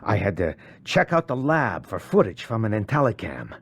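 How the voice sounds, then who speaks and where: An actor playing a hostile, evasive corrupt police officer in a video game, with a sneering, streetwise manner. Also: male voice, on the low side, big pitch swings, normal speed, slightly rough, full character voice. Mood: nervous, evasive. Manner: foul-mouthed, sneering, streetwise. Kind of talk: cinematic game dialogue.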